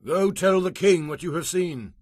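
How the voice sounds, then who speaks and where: A man's voice: sits high, normal speed, a little mellow, no room sound, studio quality, pitched above the performer's own voice. A man voicing a stern, blunt army commander who speaks curtly and in commands. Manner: curt and commanding.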